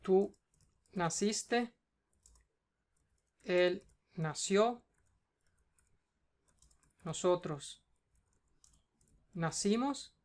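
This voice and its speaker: A Spanish teacher with a Cuban accent, patiently going through a student's homework and checking in often. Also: female voice, mid-range voice, extremely slow, pauses often, darkish tone. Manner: patient, explains step by step, checks in with "ok?".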